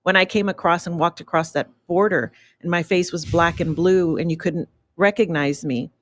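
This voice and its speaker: A woman's voice: lowish voice, moderate pace. A woman speaking as a radio show guest, earnest and outspoken, with a preachy tone. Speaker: radio show guest, author and business owner. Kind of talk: casual radio interview. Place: radio studio.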